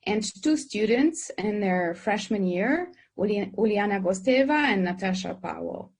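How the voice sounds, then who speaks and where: A woman's voice: mid-range voice, lively intonation, measured pace, a little mellow, husky. A woman moderating an online webinar, who introduces speakers and asks questions hesitantly, with many fillers and restarts, over a video call. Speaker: moderator, dean.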